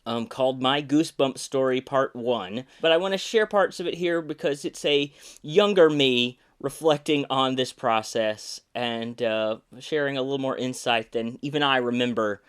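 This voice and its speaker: Smooth-voiced young man hosting a podcast. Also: mid-range voice, moderate pace. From Alabama. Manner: relaxed, rambling, many fillers and restarts. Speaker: podcast host, puppeteer. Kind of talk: casual recorded monologue.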